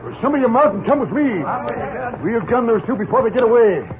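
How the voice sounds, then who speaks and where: A man's voice: very high-pitched, sing-song, a little fast. A man playing a bossy, hot-headed rancher in a 1950s radio western. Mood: commanding, angry. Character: bossy, hot-headed male rancher rallying his men. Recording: muffled 1950s radio recording.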